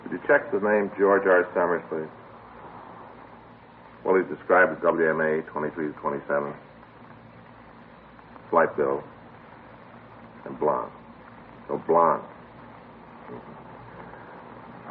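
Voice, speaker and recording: A man playing a steady, businesslike police officer in a 1950s radio drama, on a tinny old broadcast. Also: deep voice, a little hiss or hum. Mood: brisk. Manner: brisk, businesslike.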